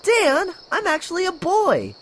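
A man voicing a small, squeaky, indignant little boy in a cartoon parody dub. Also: huge pitch swings, measured pace, a bit bright, heavily acted. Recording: very noisy, clicking home recording.

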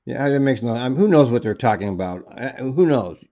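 A man's voice: medium pitch, animated, a little fast, dark tone. A scornful man talks over a meeting he is watching. He mocks what he hears and says he can't make sense of it. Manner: scornful, mocking, swears, laughs derisively. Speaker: commentator mocking a recorded meeting. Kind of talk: sarcastic running commentary.

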